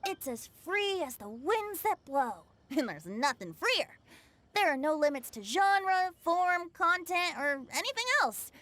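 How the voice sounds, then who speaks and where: High, bright, spirited young poet. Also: female voice, big pitch swings, measured pace, no room sound, full character voice. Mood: breezy, encouraging. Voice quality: bright, girlish. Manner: flowery, theatrical phrasing. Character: spirited, theatrical young female free-verse poet. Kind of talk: video game character dialogue.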